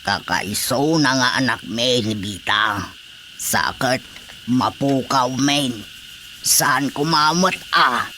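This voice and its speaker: A slow-talking stubborn village uncle. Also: male voice, medium pitch, sharp tone. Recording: radio drama with faint noise.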